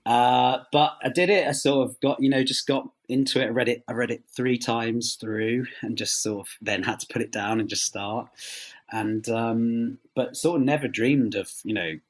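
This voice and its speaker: A man's voice: medium pitch, fairly even intonation, moderate pace. A man speaking conversationally as an interview guest, modest and self-effacing. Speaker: interview guest, audio drama writer.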